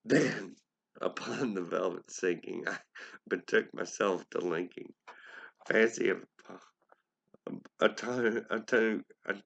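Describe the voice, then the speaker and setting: A man giving a theatrical, halting amateur reading of a gothic poem. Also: fairly low pitch, gravelly, fairly bright tone. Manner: dramatic, halting delivery. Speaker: amateur poetry reader. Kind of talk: theatrical gothic poetry recitation.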